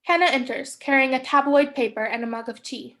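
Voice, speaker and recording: A woman's voice: high voice, fairly quick, a bit bright, a little hiss or hum. A young woman reading stage directions as scripted narration in a staged play reading. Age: young adult.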